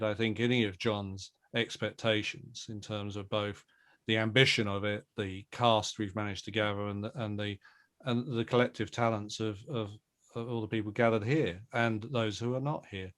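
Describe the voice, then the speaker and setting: A middle-aged man giving a reflective, earnest and emphatic interview answer as a producer. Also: lowish voice. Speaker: audio drama producer and director, interview guest.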